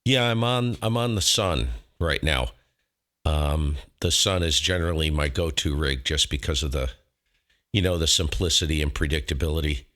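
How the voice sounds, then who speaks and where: A man's voice: rumbling low, normal speed, fairly bright tone. A man chatting casually and folksily over amateur radio, rambling a little and poking fun at himself. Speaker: amateur radio operator and host recording his contacts. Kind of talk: casual ham radio chat.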